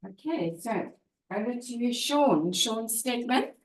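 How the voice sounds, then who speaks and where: A woman's voice: a little high, expressive, slow, a little hiss or hum. A woman chairing a club meeting, chatty and laughing, with many fillers as she introduces the speakers. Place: meeting room. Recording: roomy, echoey meeting room.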